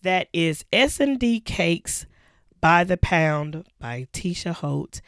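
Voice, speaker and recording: A hoarse woman co-hosting a podcast, chatting casually with laughs and slow, drawn-out lists. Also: fairly low pitch, animated, no echo, studio quality. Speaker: podcast co-host. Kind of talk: casual chat.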